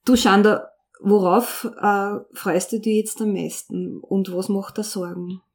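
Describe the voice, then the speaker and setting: A woman's voice: medium pitch, fairly even intonation, unhurried, darkish tone. A woman who runs the interview, asking questions in an encouraging, upbeat way. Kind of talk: casual interview.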